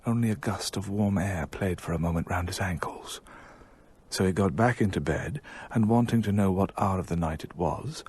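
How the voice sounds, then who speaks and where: A radio ghost-story narrator with an English accent. His voice is low and husky, his delivery literary, measured and quietly ominous. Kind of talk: scripted radio drama narration.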